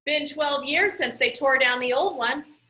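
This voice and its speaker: A woman reading the part of a busy mother in a storybook, talking briskly and casually to her sons. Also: high voice, bright, forceful tone, roomy sound. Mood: interested.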